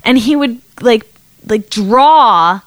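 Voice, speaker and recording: A woman's voice: a little high, expressive, slow pace, dry recording. An American woman in her thirties co-hosting a podcast, bantering casually in an irreverent, exclamatory way with plenty of swearing. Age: 30s. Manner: irreverent, profane, exclamatory, giggly. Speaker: podcast co-host. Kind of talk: casual banter about the news.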